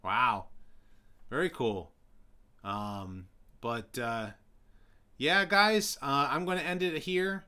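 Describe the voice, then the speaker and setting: A man's voice: mid-range voice, slow pace, long pauses, pushed and bright, smooth. Rambling man chatting on a live stream. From New York City. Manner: rambling, many fillers and restarts. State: voice getting hoarse. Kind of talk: casual live-stream chat answering viewers.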